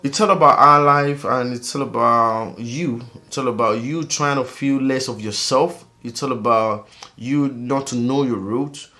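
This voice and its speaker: A man from Africa hosting his own vlog, talking casually in a rambling, animated way with many fillers. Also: medium pitch, measured pace. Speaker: vlogger host.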